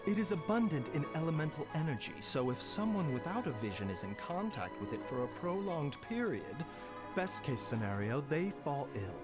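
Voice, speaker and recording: A man's voice: fairly high pitch, sing-song, moderate pace, music in the background. A man playing a courteous, knowing pharmacist doctor in a video game, with genteel, wordy, teasing politeness. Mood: explaining. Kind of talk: scripted game dialogue.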